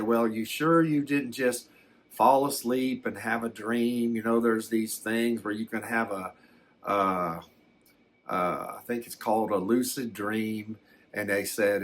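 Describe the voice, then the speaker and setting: An older man, telling a personal story in a halting, reflective, folksy way. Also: fairly low pitch, fairly bright tone. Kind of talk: slow first-person storytelling.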